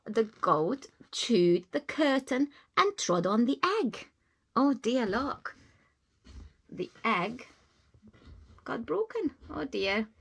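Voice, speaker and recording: A woman's voice: a little high, fairly bright tone, slightly rough. A woman reading a children's storybook aloud as a teacher, expressively, with simple explaining asides for young listeners.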